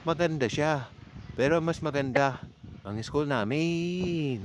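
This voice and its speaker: A man's voice: a little high, slow pace. A man with a Filipino accent narrating a vlog in a casual, chatty way, speaking straight to his viewers. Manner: informal, chatty, addresses viewers. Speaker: vlogger. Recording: clearly noisy amateur recording.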